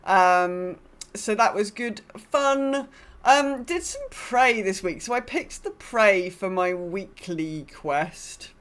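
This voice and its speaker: Understated woman chatting, middling pitch. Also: animated, takes it slowly, a bit bright. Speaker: podcast co-host. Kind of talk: casual chat about an online game.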